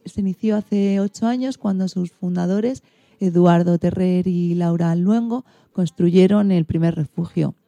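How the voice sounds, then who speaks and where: Woman hosting a radio show off a script, really mellow voice, very dry sound. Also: fairly low pitch, no echo. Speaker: radio programme presenter. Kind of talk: scripted magazine-show presenting.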